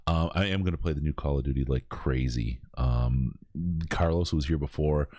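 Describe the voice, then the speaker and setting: A man's voice: rumbling low, moderate pace, mellow, no room sound, studio quality. A middle-aged man from Michigan with a Michigan accent, hosting a podcast in casual, rambling chat with lots of restarts. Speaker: podcast host. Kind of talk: casual charity stream chat.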